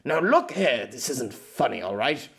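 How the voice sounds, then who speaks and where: A man's voice: mid-range voice, sing-song, a little slow, gravelly, a bit bright, full character voice. A man voicing a grumpy, condescending novelist with a pompous, exasperated, sardonic manner.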